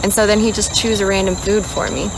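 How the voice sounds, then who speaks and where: A woman's voice: medium pitch, brisk, background noise. Young woman telling a story outdoors with insects. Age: 20s. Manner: chatty, animated storytelling with acted-out quotes. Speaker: vlogger. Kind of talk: casual storytelling vlog. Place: outdoors in a rural area. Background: insects and crickets chirping.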